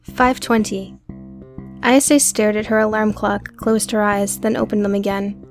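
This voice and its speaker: Woman reading an audiobook story, creaky fry in her voice, faint guitar music behind. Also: mid-range voice, fairly even intonation, unhurried. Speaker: audiobook narrator. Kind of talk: scripted fiction narration.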